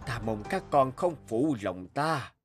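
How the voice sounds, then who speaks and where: An actor playing an aging, fatherly king in an animated fairy tale, speaking in a solemn, measured, regal way. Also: mid-range voice. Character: stately aging king, deliberate and fatherly to his sons. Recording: loud music under the voice.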